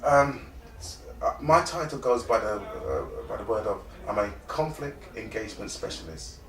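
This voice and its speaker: A man's voice: on the low side, very animated, moderate pace, a crowd in the background. Echoey, distant London man telling his story. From North London, born in Trinidad and Tobago. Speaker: interview guest, conflict engagement specialist and mediator. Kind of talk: personal storytelling in an interview. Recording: echoey room, distant mic.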